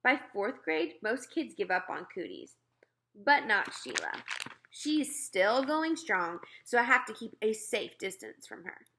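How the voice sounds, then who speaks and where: A woman reading a children's book aloud in an expressive storytelling style. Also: medium pitch, unhurried, a little hiss or hum, low-quality recording. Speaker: read-aloud reader.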